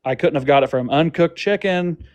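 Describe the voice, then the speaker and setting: Male guest debater, slightly high voice, defensive and dismissive, argues in rapid restarts. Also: darkish tone, no room sound. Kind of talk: heated live debate.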